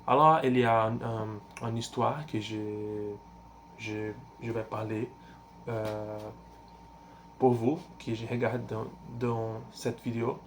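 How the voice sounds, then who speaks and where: A man in his twenties from Brazil, speaking French with a Brazilian Portuguese accent and a Portuguese first language. He talks in a halting, casual way, pausing often to search for words, with frequent fillers and restarts. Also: lowish voice, fairly even intonation, slight background noise. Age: 20s. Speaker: language learner vlogger. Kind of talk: casual self-introduction.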